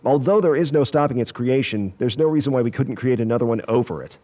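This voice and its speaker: Man as sci-fi scientist: confident, precise, technical, measured delivery, average male pitch. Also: warm tone, natural voice. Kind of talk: audio drama acting.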